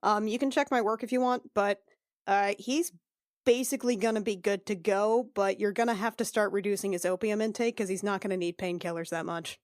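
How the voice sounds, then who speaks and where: A woman's voice: medium pitch, fairly even intonation, fairly quick, no room sound, clean studio sound, lightly acted. A woman playing a blunt, informal priestess healer who rambles in a tabletop game. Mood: blunt, awkward.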